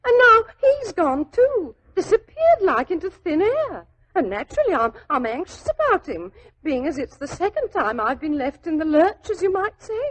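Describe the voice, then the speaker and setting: An actress playing a naive, devoted young typist, anxious, chatty and deferential, in 1940s radio style. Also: female voice, very high-pitched, very animated, a bit bright, heavily acted, poor audio. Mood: wistful, anxious. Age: young adult. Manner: deferential, chatty, halting when flustered. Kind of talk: 1940s radio drama acting. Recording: old 1940s radio drama recording.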